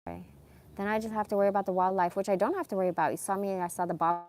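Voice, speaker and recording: A woman's voice: medium pitch, rapid-fire, a little mellow. A woman in her forties rambling casually about personal things in a candid, emphatic, slangy way. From born in Iran, moved to America as a young child. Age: 40s. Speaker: live streamer.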